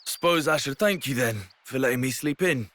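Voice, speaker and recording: A man's voice: mid-range voice, a little fast, a bit bright, no room sound, close to an everyday voice. A man voicing a scrappy, easygoing young fighter in a video game, with a British accent and slangy, informal speech. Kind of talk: video game cutscene acting.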